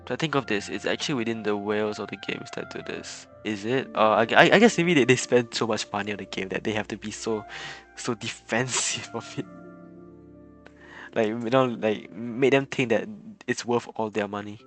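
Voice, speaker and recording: A man chatting casually on a game stream, halting and often repeating his words. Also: lowish voice, a bit bright, faint music behind. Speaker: live streamer.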